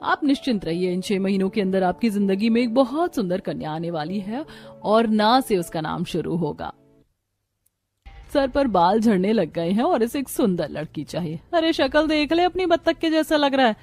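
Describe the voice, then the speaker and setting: A woman voicing scheming, smooth-talking female con artists in a moral story cartoon, with a glib, dramatic delivery. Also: medium pitch, animated, moderate pace, darkish tone, dry recording, soft background music, lightly acted. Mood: scornful. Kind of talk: acted dialogue in a moral story.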